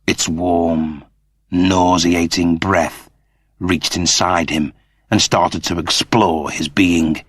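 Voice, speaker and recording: Low-voiced man narrating a dramatic story. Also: steady tone, dry recording, studio quality. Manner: measured, suspenseful storytelling. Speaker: audiobook narrator.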